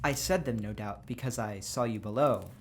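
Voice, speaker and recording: An actor playing a curious, well-mannered gentleman writer of the 1860s in an audio drama, formal and inquisitive. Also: lowish voice, moderate pace, lightly acted. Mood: puzzled, polite. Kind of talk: audio drama acting, period dialogue.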